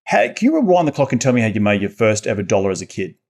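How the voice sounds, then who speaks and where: Male host, 30s, friendly, lowish voice. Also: wildly swooping pitch, very fast, a little mellow. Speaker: podcast host. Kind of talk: casual interview talk. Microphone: headset and desk mic, video call.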